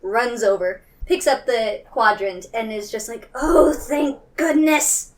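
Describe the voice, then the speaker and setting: A woman's voice: fairly high pitch, slow, low-quality recording. A woman playing a tabletop game, improvising casually.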